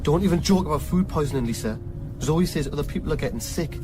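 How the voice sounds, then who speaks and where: Actor as a North East butcher, music behind. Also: male voice, a little high, animated, fast talker, lightly acted. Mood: worried. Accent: North East English. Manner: colloquial, matey. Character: friendly, cautious North East man, sausage maker. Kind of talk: naturalistic radio drama acting.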